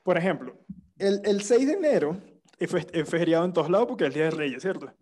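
A young man with a Venezuelan accent talks in an easy, rambling way, full of slang and restarts. His voice sits a bit high for a man, with a warm, mellow tone. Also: fast. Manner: relaxed storytelling with slang and restarts. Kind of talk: casual chat.

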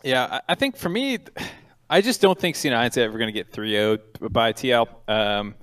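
A man's voice: mid-range voice, animated, normal speed, a bit bright. A man from the United States co-hosting a casual esports talk show, blunt and loose, swearing freely. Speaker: co-host. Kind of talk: casual podcast chat.

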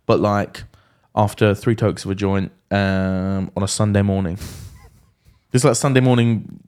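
A man's voice: on the low side, steady tone, a little slow, darkish tone, smooth. A man in his thirties co-hosting a casual podcast, rambling and reminiscing in a chatty way. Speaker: podcast co-host. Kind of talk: casual chat.

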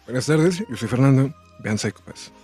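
A man's voice: medium pitch, expressive, moderate pace, mellow. A man in a mid-range voice guides the chat, putting questions to each guest in an upbeat, encouraging way. Faint music plays behind him. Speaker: co-host. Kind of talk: casual group chat.

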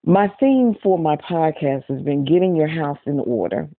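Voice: mid-range voice, expressive, normal speed. A podcast host doing a casual interview, chatty and loose, with lots of filler words. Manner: chatty, heavy um fillers, frequent "everything like that". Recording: dry, tinny phone-like call line.

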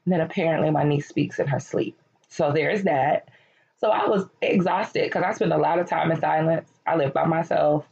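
Hoarse woman in her late thirties, candid podcast monologue, gravelly fry, dull lo-fi sound. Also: low-pitched, darkish tone. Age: late 30s. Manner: candid, conversational, encouraging. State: losing her voice, slightly cracking. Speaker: podcast host. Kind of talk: personal reflective monologue. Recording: lo-fi, dull recording.

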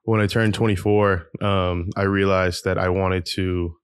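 Low-voiced young man telling his story. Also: little pitch movement, unhurried, clean tone, dry recording, clean studio sound. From Peru. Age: 20s. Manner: open, reflective, many ums and restarts. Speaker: podcast guest. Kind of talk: casual interview storytelling. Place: guest's home.

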